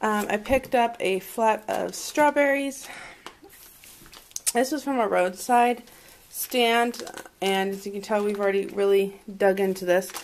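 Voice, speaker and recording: A woman vlogger talking casually indoors at home in a rambling, think-aloud way, recorded on a handheld camera. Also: medium pitch. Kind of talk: casual chat, showing a grocery haul. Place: indoors at a home dining table. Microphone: handheld camera microphone. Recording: noisy handheld camera audio with clicks.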